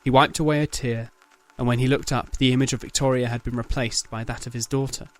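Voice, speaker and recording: A man's voice: medium pitch, fairly even intonation, a little fast, no echo. A man reading dramatic scripted narration as the narrator of an audio story.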